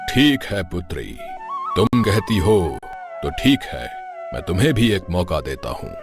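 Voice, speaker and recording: Man acting a low-voiced, haughty king. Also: very animated, a little slow, exaggerated voice. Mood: formal, indulgent. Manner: formal, imperious. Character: proud, haughty king, father of a princess. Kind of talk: Hindi cartoon moral story dialogue. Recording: music behind the voice.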